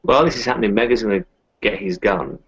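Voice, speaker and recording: A man's voice: on the low side, fast talker, fairly bright tone, breathy edge. A man chatting casually as a player in a tabletop roleplaying game. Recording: dull lo-fi voice chat audio.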